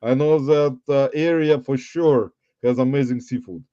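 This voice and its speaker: A man's voice: medium pitch, fairly even intonation, dark tone, no room sound, highs cut off. A man in his forties from Uzbekistan with a Russian accent, hosting a casual livestream chat and rambling to his viewers. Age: 40s. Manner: rambling, halting, addresses viewers as guys. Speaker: livestream host.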